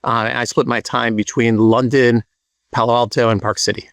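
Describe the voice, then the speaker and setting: A man introduces himself on an investor panel, listing facts crisply with few fillers. His voice is mid-pitched, and the sound is dry. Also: flat delivery, moderate pace, fairly bright tone, no room sound. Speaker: venture capitalist.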